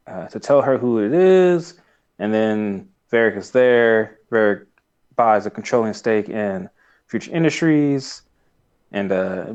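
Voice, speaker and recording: A man's voice: on the low side, a little mellow. A man co-hosting a podcast, recapping a show casually and somewhat hesitantly. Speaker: podcast co-host. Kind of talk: casual episode recap chat.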